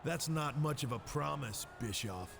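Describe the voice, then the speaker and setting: A gravelly, cocky wrestler. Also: male voice, mid-range voice, lively intonation, noisy background. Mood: defiant. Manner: measured, challenging. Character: cocky, defiant male pro wrestler. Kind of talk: scripted video game cutscene acting.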